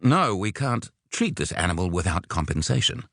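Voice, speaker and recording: A man's voice: low voice, big pitch swings, normal speed, gravelly, a bit bright, no room sound, clean studio sound, heavily acted. A man voicing a disdainful, officious vet in an audiobook, clipped and matter-of-fact. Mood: matter-of-fact, firm. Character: disdainful, officious male veterinarian, dry and unbending. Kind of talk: audiobook character voice.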